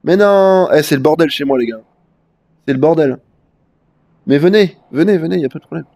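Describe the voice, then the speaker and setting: A man's voice: on the high side, expressive, a little fast, mellow, no room sound. A young man with a head cold chatting casually and rambling in slang while livestreaming a video game. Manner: rambling, slangy, frequent fillers. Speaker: live-streaming gamer.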